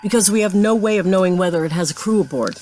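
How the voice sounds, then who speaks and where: A woman's voice: medium pitch, fast talker, a little mellow, slight background noise, lightly acted. A woman playing a weary but decisive starship captain in a sci-fi audio drama, terse and wry. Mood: brisk, wry.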